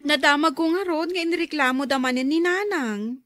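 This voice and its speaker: A woman's voice: high-pitched, normal speed, fairly bright tone, no room sound. A woman acting a concerned grown daughter in an Ilocano radio drama, fretful and weary in a conversational way.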